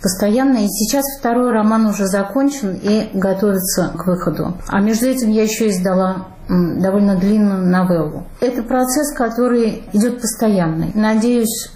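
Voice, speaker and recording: A middle-aged woman novelist answering interview questions in a thoughtful, measured way. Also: medium pitch. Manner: thoughtful, measured, with soft laughs. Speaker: novelist guest. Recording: roomy studio sound.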